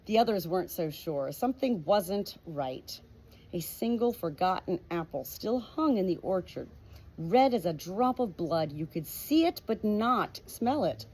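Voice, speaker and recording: A woman reading an audiobook as an expressive storybook narrator. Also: lowish voice, measured pace, husky, poor audio. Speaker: audiobook reader.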